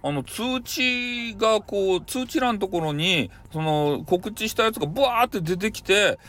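A man's voice: sits high, lively intonation, moderate pace, a bit bright, voice pushed up. A man playing a timid, deferential young male office underling who talks apologetically in a comic radio drama. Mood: defensive explaining.

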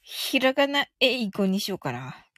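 A woman's voice: on the high side, big pitch swings, normal speed, fairly bright tone, no echo, studio quality. A woman hosting a casual live audio stream, thinking aloud in a giggly, cheerful way as she chats and reads out comments.

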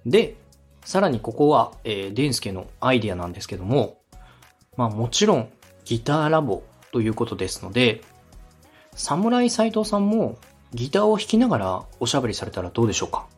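Husky, animated man giving podcast advice. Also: medium pitch, fairly quick, faint music behind. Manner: polite, encouraging, methodical explaining. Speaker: podcast host and radio director giving feedback. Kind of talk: structured advice on podcast production.

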